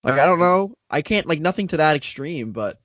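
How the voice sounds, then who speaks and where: A young man in casual podcast chat, joking and laughing through quick riffs. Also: on the high side, expressive, a bit bright, no room sound. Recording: dull lo-fi dry recording.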